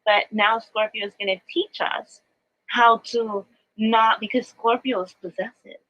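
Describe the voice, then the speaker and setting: A woman's voice: fairly high pitch, fairly even intonation, a little slow. Woman doing earnest new age coaching, thoughtful explainer, kinda dull lo-fi audio. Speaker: spiritual teacher, astrology adviser. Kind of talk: live spiritual coaching talk, semi-monologue.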